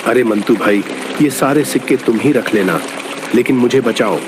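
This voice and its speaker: A man's voice: medium pitch, normal speed, background noise, natural voice. A man playing a good-hearted, earnest village labourer in a moral story cartoon. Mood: pleading.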